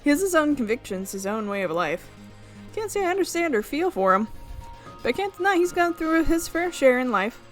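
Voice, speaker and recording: A woman reading the part of an earnest, soft-hearted yet resolute young heroine in a visual novel, in a measured, dramatic way. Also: high voice, big pitch swings, soft background music, voice pushed up. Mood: reluctant.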